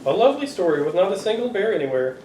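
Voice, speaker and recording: A middle-aged man reading a picture book aloud to children, expressive and playfully exasperated. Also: sits high, racing. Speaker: father reading to his children. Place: living room sofa, indoors. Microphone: camera a few feet away. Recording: roomy, distant home recording with noise.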